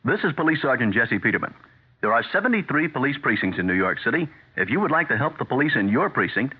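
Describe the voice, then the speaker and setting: An American man with a husky voice reading a scripted public service announcement as a police sergeant. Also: medium pitch. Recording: tinny narrow-band radio broadcast.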